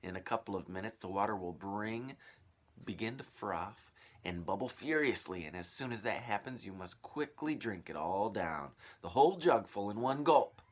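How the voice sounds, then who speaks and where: A man's voice: on the low side, animated, normal speed. A man voicing a mysterious old man in a children's storybook, giving secret instructions with conspiratorial urgency. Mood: secretive, instructing. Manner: hushed and conspiratorial, swelling to urgent commands. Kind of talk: children's storybook read-aloud.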